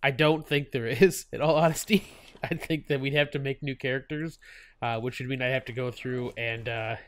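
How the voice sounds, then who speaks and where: A man's voice: mid-range voice, steady tone, normal speed, a little hiss or hum. A man with a stuffy cold hosting his gaming channel, chatting casually over gameplay, laughing often and full of fillers and restarts.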